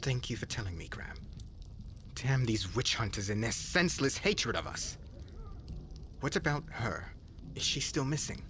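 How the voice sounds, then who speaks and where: A man playing a grave, concerned academy professor in an ASMR roleplay, speaking in an earnest, formal and hushed manner. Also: medium pitch, very animated, moderate pace, long pauses. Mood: bitter concern. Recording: clearly noisy ambient background.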